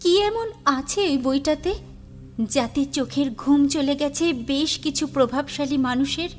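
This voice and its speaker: A woman playing a composed, curious confidante in an audio drama, speaking in a measured, deliberate, dramatic way. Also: sits high, faint music behind. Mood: curious, probing.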